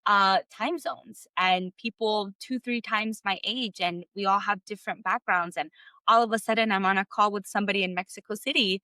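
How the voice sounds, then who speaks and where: Bright-voiced woman, eager storyteller. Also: medium pitch, normal speed, clean tone, dry recording. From United States. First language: Spanish. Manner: animated storytelling with many fillers and restarts. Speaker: guest, clarity coach. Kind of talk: conversational interview.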